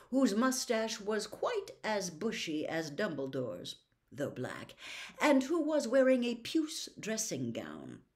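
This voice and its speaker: A woman in her sixties reading a novel aloud as a storyteller, with dramatic, deliberate phrasing. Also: mid-range voice. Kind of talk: expressive audiobook-style reading of a novel. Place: small furnished room at home.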